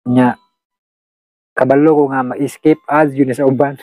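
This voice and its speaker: A man with a Cebuano Filipino accent talks casually to his listeners. He rambles and halts for words in a medium-pitched voice, recorded very dry. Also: flat delivery, darkish tone, no echo, rough recording. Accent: Filipino, Cebuano-speaking. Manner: halting, rambling, searching for words. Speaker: channel host. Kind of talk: unscripted casual chat to listeners.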